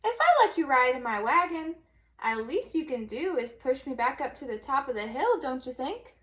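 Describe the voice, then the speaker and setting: A woman's voice: sits high, big pitch swings, moderate pace, fairly bright tone, recorded from a distance. A woman voicing a bossy, self-satisfied male bear from a children's storybook, with emphatic delivery. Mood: indignant, bossy.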